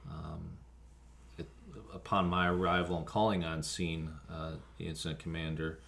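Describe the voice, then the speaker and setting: An American man, a fire officer being interviewed, recounting events haltingly with frequent ums and pauses. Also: low-pitched, level tone, creaky, fairly bright tone. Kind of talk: spoken account of an emergency call, interview.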